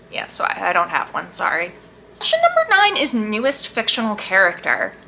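A woman with a bright, forward voice talks casually as a book vlogger. Her delivery is animated and emphatic, with a gushing, chatty feel. There is some faint noise behind her. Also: fairly high pitch.

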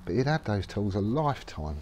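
A man's voice: fairly low pitch, big pitch swings, a little fast, darkish tone, a little hiss or hum. A middle-aged man casually explaining his tool care while working in a garage workshop, rambling and matter-of-fact with many ums. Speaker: workshop vlogger.

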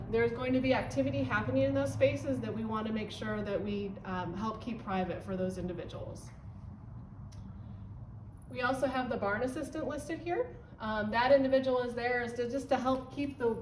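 A woman's voice: on the high side, a little mellow, slight background noise. Woman explaining a barn board, roomy. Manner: explanatory, pointing at a whiteboard while talking, frequent ums. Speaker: volunteer trainer. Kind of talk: instructional walkthrough. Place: indoor barn office with a wood-paneled wall. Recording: roomy, slightly distant sound with faint ambience.

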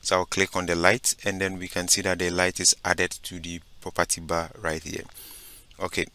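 A man giving a step-by-step software tutorial, narrating his screen and often saying "okay" and "so basically". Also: deep voice, monotone, normal speed. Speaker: instructor.

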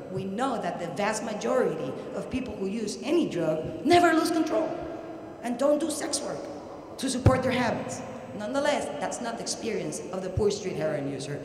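A middle-aged woman with a Puerto Rican accent giving an academic lecture at a podium, halting but emphatic. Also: mid-range voice. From Puerto Rico. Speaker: researcher presenting at an academic conference. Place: large indoor university hall, at a podium. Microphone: podium microphone. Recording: very echoey hall, audible background noise.

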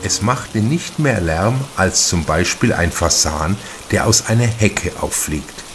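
A male narrator with a very low voice reads crime fiction very slowly. Faint rain sounds sit behind the scripted audiobook delivery. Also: animated, noisy background.

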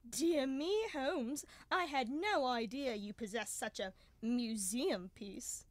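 Young woman as protesting detective's companion, slow delivery, dry sound, indignant gentleman, old radio drama. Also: on the high side, no room sound. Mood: mildly indignant. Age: young adult. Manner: hesitant, protesting. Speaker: student actor. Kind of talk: 1930s-style radio drama acting.

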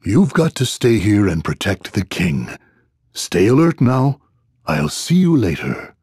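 Kindly middle-aged innkeeper for a video game: slow, folksy, deep-leaning and solicitous. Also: male voice, sing-song, darkish tone, slightly rough, no echo, studio quality, heavily acted. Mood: fatherly.

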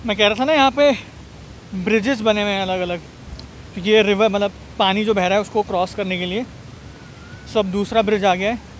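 A man's voice: high-pitched, fairly quick, pauses often. A man, chatting casually as a travel vlogger while walking a forest trail and filming himself on a handheld phone. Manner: chatty, explains as he walks. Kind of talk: casual travel vlog narration. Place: outdoors on a forest canyon trail. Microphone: handheld phone at arm's length.

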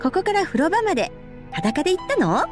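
A woman's voice: very high voice, big pitch swings, fairly quick. A woman playing a boisterous, playful, nosy big-sister character in an anime-style game, with a theatrical manner. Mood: suspicious probing. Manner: theatrical, singsong, exaggerated. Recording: background music under the voice.

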